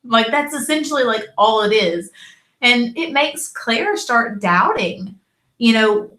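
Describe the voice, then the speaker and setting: A woman's voice: on the high side, expressive, slow, pushed and bright. Chatty Southern woman, echoey room. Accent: Southern American. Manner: chatty, acts out quotes, frequent "like" and "you know". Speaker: co-host of a TV review show. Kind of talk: casual review chat.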